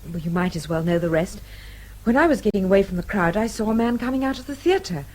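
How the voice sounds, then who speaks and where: A woman's voice: mid-range voice, lively intonation, fast talker, a little mellow, lightly acted, low-quality recording. An actress playing a polite, plucky young British woman in a radio drama, with a well-mannered, earnest way of speaking and a British accent. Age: young adult.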